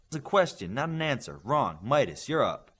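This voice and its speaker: A man's voice: mid-range voice, big pitch swings, moderate pace, a bit bright, slightly rough. A man voicing a stern, demanding superhero coach in an audiobook, speaking with blunt, commanding authority to young trainees. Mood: brusque, testing. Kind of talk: audiobook character dialogue.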